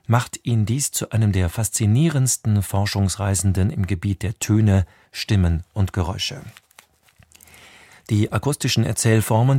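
A man presenting on radio, reading a scripted introduction in a measured, formal way. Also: on the low side, mellow, dry recording, studio quality. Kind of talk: scripted narration.

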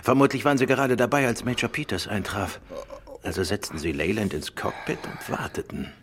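An actor playing a quick-witted, commanding male detective in a German radio play. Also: fairly low pitch, fairly bright tone, a little hiss or hum, natural voice. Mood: urgent, deducing.

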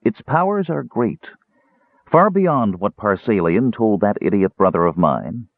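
A band-limited, tinny sound frames a man acting a cunning fantasy mage with a creaky, husky voice. He speaks with cool control and quiet command, manipulating his listener in storybook style. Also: fairly low pitch, expressive, measured pace, dry recording. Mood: insistent. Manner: soft-spoken, controlled, commanding. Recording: narrow tinny radio-like band.

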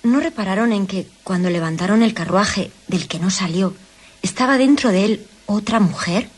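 A woman's voice: mid-range voice, normal speed, natural voice. An actress playing a dramatic, easily alarmed lady companion in a radio play. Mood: confiding.

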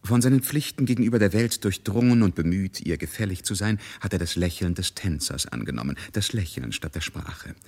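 A man's voice: deep voice, lively intonation, fairly quick, hardly any pauses, a little mellow. A man reading scripted literary narration for a radio drama.

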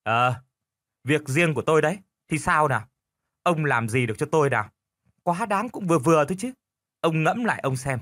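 A man voicing a bitter older wife in a storybook, sharp-tongued, sarcastic and full of reproach. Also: mid-range voice, expressive, moderate pace, no echo, studio quality. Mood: sarcastic, resentful.

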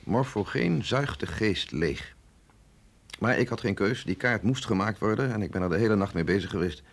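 Gezaghebbende dokter met krakende stem. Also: male voice, lowish voice, lively intonation, gravelly. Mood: grave. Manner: measured, explanatory, authoritative. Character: authoritative male doctor at a secret research institute, patient and grave. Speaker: doctor. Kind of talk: radio drama acting.

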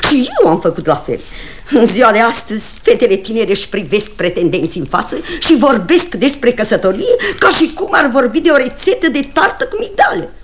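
Fussy, swooping old grandmother. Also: fairly high pitch. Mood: scolding, persuasive. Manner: animated, scolding and exclaiming. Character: fussy, nagging old grandmother, keen on matchmaking. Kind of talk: radio comedy stage acting. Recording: old radio play with hiss and clicks.

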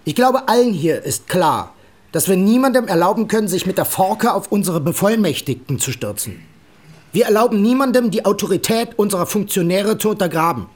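An actor playing a stern, authoritarian party secretary who chairs a tribunal in a curt, formal way, in a radio drama. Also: male voice, sits high, animated, a little fast. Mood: curt, reproving. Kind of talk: German radio drama acting.

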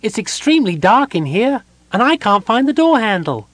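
A man putting on a high, chirpy kender. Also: very animated, moderate pace, fairly bright tone, dry recording. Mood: cheerful chatter. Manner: breezy, rambling, unbothered. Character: chatty, cheerful adult male kender, small and carefree.

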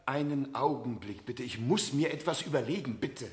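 A man's voice: medium pitch, moderate pace, a loud crowd, close to an everyday voice. An actor playing an anxious, evasive middle-aged father in a 1970s radio play, hesitant, stammering and pleading. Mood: flustered, pleading.

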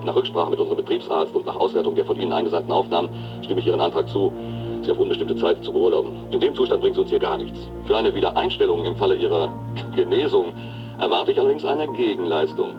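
An actor playing a cynical, domineering pharmaceutical boss in a radio drama, brusque and patronising. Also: male voice, sits low, quick pace, natural voice. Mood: cold, sardonic. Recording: muffled sound with music underneath.

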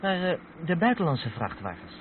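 Curious junior trucker, lively intonation, Netherlands Dutch accent, radio play, narrow dull sound, light noise. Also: male voice, high voice, normal speed. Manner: inquisitive, animated. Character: curious junior truck driver questioning his older mate. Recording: muffled old radio play, vehicle noise.

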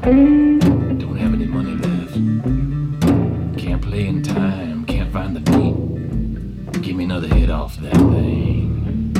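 Very deep, slow woman over loud guitar. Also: sing-song, poor audio.

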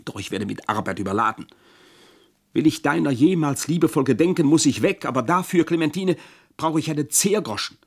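An actor playing a weary, self-pitying old servant in a radio play, husky, formal and florid in a plaintive way. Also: male voice, a little high, animated, a little fast. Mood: pleading. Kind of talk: German radio play acting.

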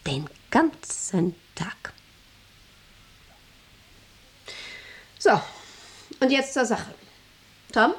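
A woman's voice: a little high, sing-song, slow pace. An actress playing a domineering, manic female factory director in a radio drama, with an imperious, erratic, commanding manner. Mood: controlled, intense.

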